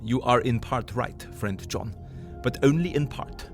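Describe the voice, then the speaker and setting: An actor playing an older foreign professor in an audio drama, earnest and emphatic, with halting foreign syntax. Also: male voice, medium pitch, over music, close to an everyday voice. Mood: grave, urgent.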